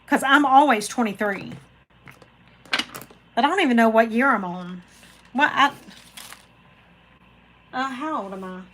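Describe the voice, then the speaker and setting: A woman's voice: a little high, measured pace, long pauses, fairly bright tone, rough recording. A woman, hosting a casual live chat and rambling to viewers by name. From Florida, USA. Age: 40s. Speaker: craft channel host reading live chat.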